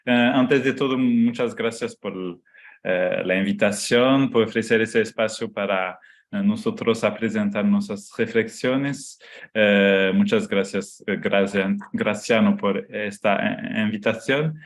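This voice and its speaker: Halting slow-speaking man lecturing. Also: on the low side, fairly even intonation. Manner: halting, many fillers and restarts, mixing in Portuguese words. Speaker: guest lecturer. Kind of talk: online lecture. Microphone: video call.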